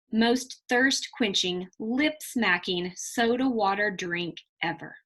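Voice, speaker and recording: A woman with a bright, forward voice reads a children's story as a teacher would. Her delivery is expressive and emphatic, with key words set out deliberately for young listeners, and she speaks to them directly. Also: a little high. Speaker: teacher reading a picture book to children.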